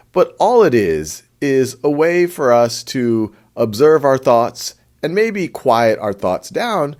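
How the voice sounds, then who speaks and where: A man leading a guided meditation in a gentle, unhurried, conversational way. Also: mid-range voice.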